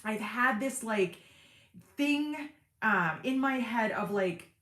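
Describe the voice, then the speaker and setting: A woman's voice: animated, slow pace, a bit bright, echoey room. Chatty woman hosting a sewing livestream. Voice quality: deep speaking voice in everyday talk. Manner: chatty and self-deprecating, lots of fillers and asides. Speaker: sewing channel host on a livestream. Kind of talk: casual livestream chat reading viewer comments.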